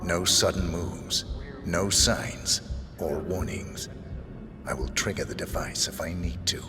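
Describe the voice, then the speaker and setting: A man playing a stoic, coldly commanding assassin in a video game, speaking in a flat, terse, deliberate way. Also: sits low, long reverb tail, over music. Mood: cold, controlled.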